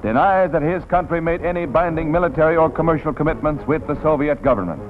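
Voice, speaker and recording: A man's voice: on the high side, fast, a little hiss or hum. A man with an American accent narrating a 1940s newsreel in a dramatic, declamatory announcer style. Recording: tinny vintage film soundtrack, music behind. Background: newsreel music.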